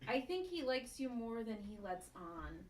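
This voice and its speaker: Woman acting a slow-talking, teasing office woman. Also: on the high side, sing-song. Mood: reassuring, blunt. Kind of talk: sitcom table read. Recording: roomy, distant mic with background noise.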